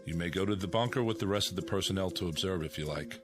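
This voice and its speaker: A male actor plays a military general in a radio drama. The voice is deep and composed, speaking formally with courteous authority. Music plays clearly behind it. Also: quick pace, close to an everyday voice. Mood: calm, courteous.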